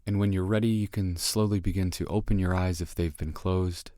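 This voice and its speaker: Very deep-voiced man guiding meditation. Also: dry recording, clean studio sound. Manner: calm, measured, gentle delivery with long pauses. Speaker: meditation guide. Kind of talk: guided meditation, slow and soothing instruction.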